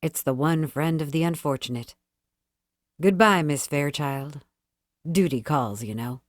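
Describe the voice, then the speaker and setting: Woman playing a calm, genial young gentleman, low-pitched. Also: unhurried, no room sound, clean studio sound. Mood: light, rueful. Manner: smooth, light, gentlemanly. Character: calm, genial, well-bred younger man.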